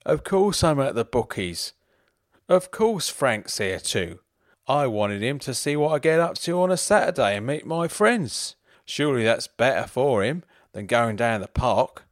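A man putting on a loud, tipsy middle-aged dad who slurs and jeers, his voice lifted higher and harder than plain talk. The sound is dry and close, with no room around it. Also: sing-song, measured pace, no echo. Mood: mocking. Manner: loud, slurring drunk voice, mocking.

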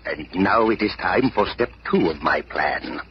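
A man's voice: sits low, lively intonation, a little slow. An actor playing a vengeful male villain in a radio drama, theatrically plotting aloud to himself. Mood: gloating. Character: gloating, vengeful, scheming male villain puppeteer. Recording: very noisy, echoey, muffled old radio recording.

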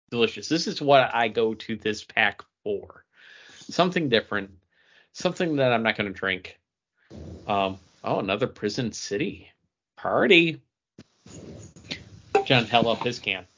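A man hosting a podcast in casual chat, teasing and repeating himself for emphasis. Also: medium pitch. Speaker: podcast host. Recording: dull, lo-fi sound.

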